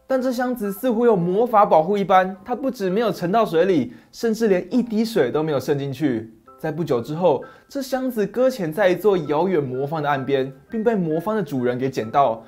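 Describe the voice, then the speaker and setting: A middle-aged man tells a fairy tale with dramatic, animated delivery. He is recorded on a lapel mic in a small study, with faint music underneath. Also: high-pitched, brisk, few pauses. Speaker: storyteller host. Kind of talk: scripted fairy-tale narration. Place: small dim study set.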